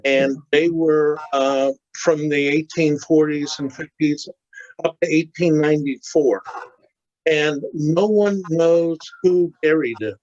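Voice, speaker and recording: A man's voice: fairly high pitch, slow, a little mellow, dry recording, highs cut off. A man in a church study group carefully telling a story full of facts and figures. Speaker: class member. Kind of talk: casual storytelling in discussion.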